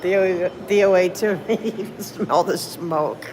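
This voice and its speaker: A woman's voice: lowish voice, unhurried. Rambling woman livestreaming on a noisy phone. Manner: rambling, thinks aloud, thanks viewers. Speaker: livestreamer filming city meetings. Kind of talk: casual livestream chat. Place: public building hallways and elevators.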